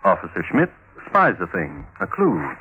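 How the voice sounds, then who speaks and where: A man hosting and narrating in 1950s radio crime storytelling style, wry and measured with theatrical pauses. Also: sits low, huge pitch swings, narrow, tinny audio. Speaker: host and narrator. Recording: old radio recording with faint noise.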